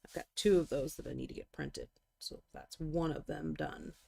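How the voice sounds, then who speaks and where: A woman in her forties chatting casually while painting miniatures on a live stream, talkative, joking about herself and quick to apologize. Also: low voice, sing-song, creaky, dark tone. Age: 40s.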